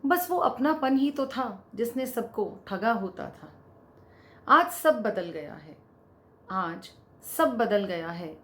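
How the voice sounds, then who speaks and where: A woman poet reciting spoken-word poetry in a deliberate way, repeating lines for emphasis. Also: mid-range voice, lively intonation.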